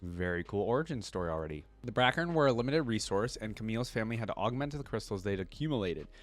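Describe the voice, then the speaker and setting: A man's voice: fairly low pitch, lively intonation, fast, soft background music. A man hosting a reaction video, mixing casual, enthusiastic commentary with reading aloud and his own interjections. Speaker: video host reacting to game character lore.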